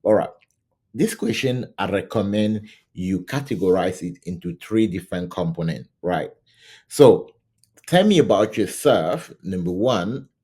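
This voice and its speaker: A man's voice: deep voice, warm tone. A middle-aged man from Cameroon coaching to camera on job interviews, talking loosely and often checking in with "right?". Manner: conversational, repeats "right?" and restarts often. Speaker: scrum coach. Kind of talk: teaching to camera. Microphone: camera mic, facing camera.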